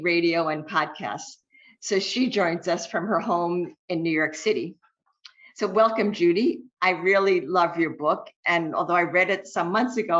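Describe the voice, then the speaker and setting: A woman with a low voice opens and hosts an author interview, reading her introduction at a measured, careful pace. Her audio is muffled and lo-fi, like a video call. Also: a bit bright. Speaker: interviewer and host.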